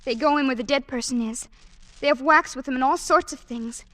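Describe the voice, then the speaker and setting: A woman's voice: high voice, brisk, a bit bright, dry recording. An actress playing a timid, naive young woman held captive as a maid, earnest and girlish, in old-time radio drama style. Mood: hushed dread.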